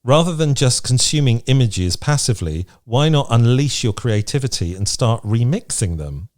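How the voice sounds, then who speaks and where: A man's voice: medium pitch, expressive, moderate pace, a little mellow. A British man co-hosting a podcast, chatting casually and reading out lists in a frank, playful way. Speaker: podcast co-host. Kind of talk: casual chat, reading out from a list.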